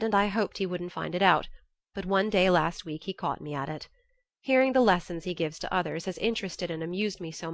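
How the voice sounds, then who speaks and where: Lower-pitched woman narrating a novel, dry sound. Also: no room sound. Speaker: audiobook narrator. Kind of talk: scripted first-person literary narration.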